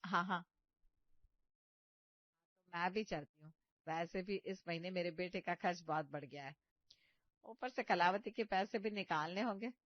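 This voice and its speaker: A woman's voice: on the low side, unhurried, long pauses, no echo, lightly acted. Lady doing a bossy stingy mother in law, scolding, dry slightly muffled recording. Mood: calculating. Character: stern, miserly mother-in-law, bossy and demanding. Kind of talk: comic cartoon story voice acting.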